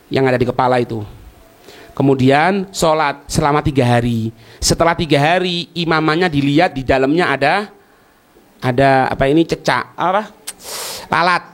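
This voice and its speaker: A man with a Javanese accent teaching religion in a colloquial, didactic way, posing questions and checking that listeners follow. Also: mid-range voice. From East Java, Indonesia. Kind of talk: religious lecture with question and answer.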